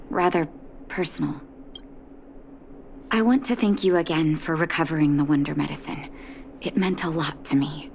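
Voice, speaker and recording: An actress playing a gentle, composed young woman doctor in a video game, speaking softly and with measured care. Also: fairly low pitch, slight background noise. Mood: gentle, earnest. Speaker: game character, underground doctor. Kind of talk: acted video game dialogue. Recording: studio voice over game music.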